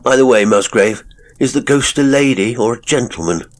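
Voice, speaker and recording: A man voicing a flippant, cocky young Victorian gentleman for an audiobook, with a mocking, rhetorical way of talking. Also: mid-range voice, moderate pace, pitched above the performer's own voice, lightly acted. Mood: flippant, emphatic. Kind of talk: audiobook character acting.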